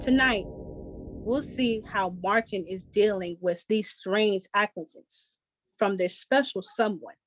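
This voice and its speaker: A woman's voice: medium pitch, slow pace. A woman announcing a show in scripted 1940s radio style. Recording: noisy room, audience murmur.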